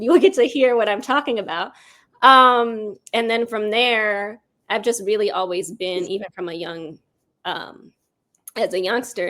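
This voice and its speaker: A woman's voice: medium pitch, a bit bright. A woman speaking as an interview guest, reflective and articulate, explaining herself in long, considered thoughts. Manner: articulate, considered, elaborates at length. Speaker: writer-director interviewed as guest.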